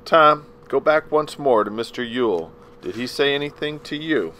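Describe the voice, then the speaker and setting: A man voicing a courteous defense lawyer who questions in a measured, gentle way. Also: mid-range voice, expressive, a little hiss or hum, pitched above the performer's own voice. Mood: probing.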